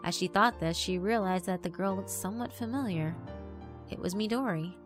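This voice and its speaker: A woman's voice: mid-range voice, a little fast. A woman giving scripted narration for a visual novel, reading as a storyteller. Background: background music.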